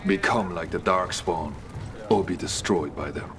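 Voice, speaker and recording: A deep-voiced, guarded dwarf of an underground city, speaks with formal gravity in measured phrases. It is a solemn video game performance with music clearly playing behind it. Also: male voice. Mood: solemn, grave. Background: game soundtrack music.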